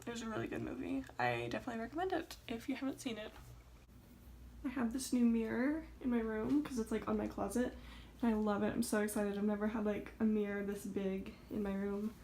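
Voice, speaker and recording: Young college-age girl vlogger, kinda high voice w lots of vocal fry, chatty n rambly, super casual. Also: a little fast, dark tone, a little hiss or hum. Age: early 20s. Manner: informal, rambling, conversational asides.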